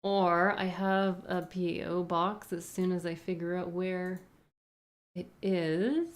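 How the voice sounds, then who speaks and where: A woman's voice: fairly low pitch, fairly even intonation, slow. A young woman with a Canadian accent, chatting casually on a live stream and answering viewer questions. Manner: rambling, thinks aloud with frequent hedges.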